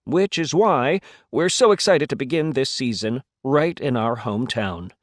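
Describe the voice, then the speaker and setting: Lively American man, dry close sound. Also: medium pitch, measured pace, no echo. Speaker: host of an audio play series. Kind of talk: scripted podcast introduction.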